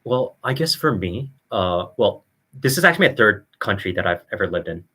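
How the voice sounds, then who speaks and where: A man's voice: on the low side, sing-song, normal speed, bright, forceful tone, husky. A young man from South Korea chatting casually, rambling with hesitations and restarts. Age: young adult. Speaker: student guest. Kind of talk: casual chat.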